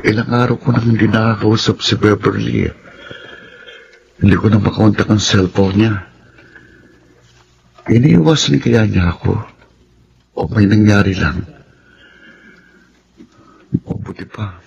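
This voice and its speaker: A man's voice: on the low side, unhurried, long pauses. An actor playing a worried, lovelorn young man thinking aloud in a Filipino radio drama. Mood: worried, brooding. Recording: dull, clearly noisy radio recording.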